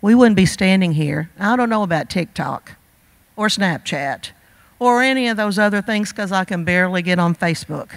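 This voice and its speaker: A woman in her sixties, a longtime teacher, giving a heartfelt speech at a podium in a school auditorium, earnest and full of pauses and fillers. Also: mid-range voice, vocal fry, no room sound. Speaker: teacher presenting awards. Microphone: podium microphone.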